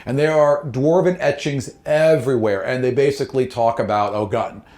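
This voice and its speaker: A man's voice: medium pitch, normal speed, a little mellow. A man acting as game master, improvising fantasy narration in a casual, conversational, occasionally profane way. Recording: roomy, echoing room sound.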